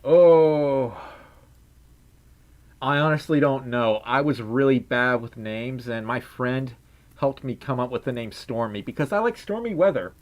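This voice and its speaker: A man's voice: medium pitch, measured pace. A young man with a scratchy voice, chatting playfully on a livestream, giggling and humming while he reads chat messages. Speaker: streamer. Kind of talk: casual livestream chat reading donations.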